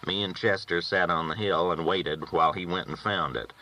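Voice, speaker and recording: A man's voice: low voice, fairly even intonation, moderate pace, sharp tone. A man reading a novel aloud as a first-person storyteller, with folksy rural phrasing, on a dull recording. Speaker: audiobook reader.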